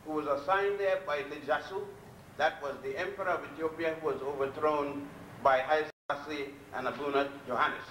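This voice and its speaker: An older man giving a public lecture from a podium, blunt and defiant, telling his story with long pauses. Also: a little high, a bit bright, far from the mic, slight background noise. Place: lecture hall at a podium before a chalkboard. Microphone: podium mic on a stand, filmed from a distance. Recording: echoey hall, recorded from afar.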